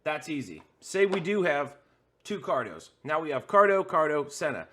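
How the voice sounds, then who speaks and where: A man's voice: a little high, big pitch swings, moderate pace, fairly bright tone, slight background noise. A man hosting a hands-on tutorial, explaining a setup step by step with frequent "uh" fillers while pointing at the devices in front of him. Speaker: host.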